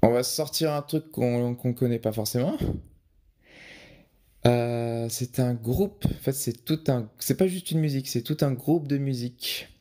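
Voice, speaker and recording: A man's voice: medium pitch, a little slow, dark tone. A young man answering interview questions in a casual, hesitant way, with frequent restarts and fillers. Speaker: podcast guest, photographer.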